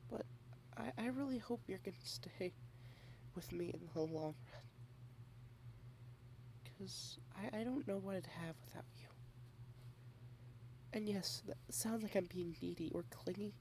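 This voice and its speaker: A woman talks softly and close to the microphone in an ASMR girlfriend roleplay, drifting in and out of half-whispers. She rambles shyly, restarting her sentences, and her voice carries a gravelly fry at a mid pitch. A faint hiss of noise sits underneath. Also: low-quality recording. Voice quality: soft, often half-whispered. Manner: shy, rambling and halting, with many repeated starts.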